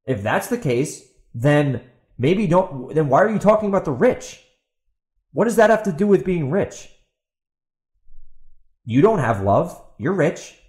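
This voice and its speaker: A man's voice: fairly high pitch, sing-song, unhurried, long pauses, a little mellow. A man hosting a solo podcast, ranting in a combative, exasperated and blunt way, repeating himself as he goes. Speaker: podcast host. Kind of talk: ranting solo commentary, partly reading out a post. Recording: roomy, echoey sound.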